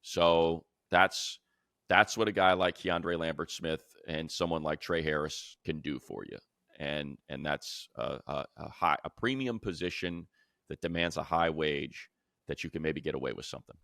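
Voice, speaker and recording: A man's voice: extremely low pitch, fairly even intonation, normal speed, fairly bright tone, no echo, clean studio sound. A man co-hosting a sports show, giving unscripted analysis and repeating phrases for emphasis. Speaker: sports show co-host and commentator.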